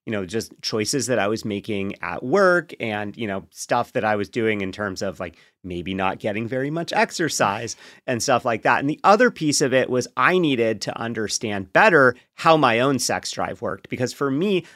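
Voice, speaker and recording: A man speaking as a podcast guest, explaining things candidly in a reflective, measured, conversational way. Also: medium pitch, animated, a bit bright, no room sound, studio quality. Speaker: podcast guest, co-author and partner of a sex therapist.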